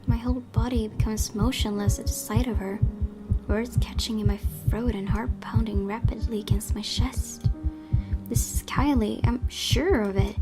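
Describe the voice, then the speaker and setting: High-voiced woman reading horror narration dramatically. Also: fairly even intonation, normal speed. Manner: breathy dramatic emphasis, often dropping to hushed tones. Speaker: gaming video host. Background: game music.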